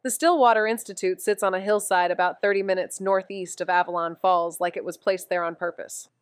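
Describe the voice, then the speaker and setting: An actress plays a young female amateur detective in a neo-noir audio drama. Her voice is smooth and clear, recorded close and dry. She is wry and deadpan, the joker of her team. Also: lowish voice, fairly quick, no echo, natural voice. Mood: dry narration.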